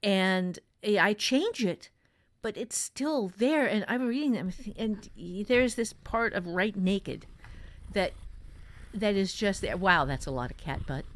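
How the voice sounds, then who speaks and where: A woman's voice: mid-range voice, animated, slow, dry recording. A woman hosting a casual talk on writing, giving advice earnestly and thinking aloud with emphatic repetition. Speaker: podcast host and novelist.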